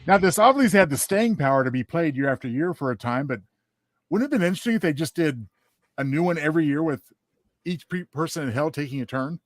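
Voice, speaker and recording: Quick-talking man, highish voice. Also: animated, no room sound. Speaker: podcast co-host. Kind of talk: casual chat, commentary.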